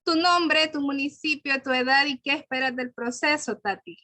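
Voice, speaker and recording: A woman's voice: high-pitched, moderate pace, sharp tone, no room sound. A woman moderating an online workshop. She calls on participants by name and encourages them. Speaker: forum facilitator. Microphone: video call.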